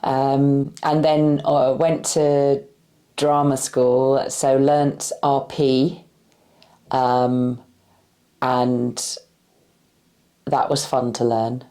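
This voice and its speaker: Low, slow-talking tired West London woman. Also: flat delivery, dark tone. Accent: West London with a slight Irish-influenced R. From West London, England. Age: 40s. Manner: thoughtful, hesitant, many fillers and restarts. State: tired, slightly cracky voice. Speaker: interviewee, movement practitioner. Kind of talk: unscripted interview answers.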